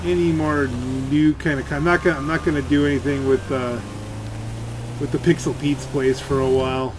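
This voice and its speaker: A man's voice: a little high, flat delivery, normal speed. A man giving casual gameplay commentary, rambling and grumbling as he thinks aloud. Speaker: game streamer and video creator. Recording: clear game engine noise behind. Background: video game car and ambient sounds.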